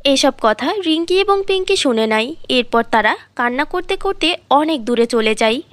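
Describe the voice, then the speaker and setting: A woman storyteller with a high voice narrates a cartoon fairy tale. She reads from a script, and the recording is dry. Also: animated, normal speed, a bit bright, no echo.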